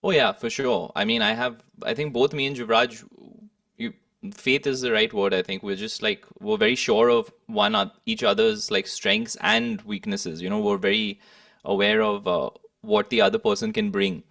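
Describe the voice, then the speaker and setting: A man's voice: lowish voice, sing-song, moderate pace, vocal fry, fairly bright tone, clean studio sound. A young man from India, speaking hesitantly and thoughtfully as a musician being interviewed. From Kolkata, India. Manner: hesitant, thoughtful, hedging phrasing. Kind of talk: reflective interview conversation. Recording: echoey room, distant microphone.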